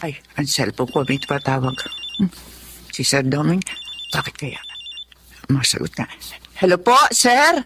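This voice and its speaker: An actress with a Filipino accent playing a concerned, deferential older housekeeper in a radio drama, cautious and confiding. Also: female voice, deep voice, sing-song, unhurried, long pauses, fairly bright tone, a little hiss or hum, exaggerated voice. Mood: flustered.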